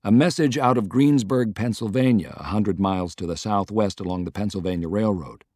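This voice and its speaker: A man's voice: on the low side, a little fast, darkish tone, no echo, clean studio sound. A man reading a historical novel as scripted, dramatic audiobook narration.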